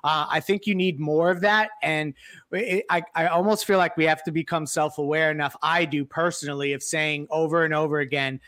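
A man's voice: a little high, fairly even intonation, fairly quick, a bit bright, dry recording, clean studio sound. A man giving casual sports analysis as a panelist on a podcast.

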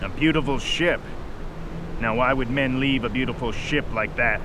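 An actor playing a terse, sardonic, commanding head lighthouse keeper in an old-time radio drama. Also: male voice, mid-range voice, moderate pace, close to an everyday voice. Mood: terse, puzzled. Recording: noisy vintage radio recording.

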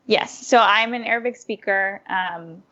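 A young woman answers interview questions in a thoughtful, animated way, often restarting her sentences. Her voice sits at a middle pitch and comes through a muffled, lo-fi line. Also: a little slow. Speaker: guest, former diplomat and food blogger. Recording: muffled lo-fi audio.

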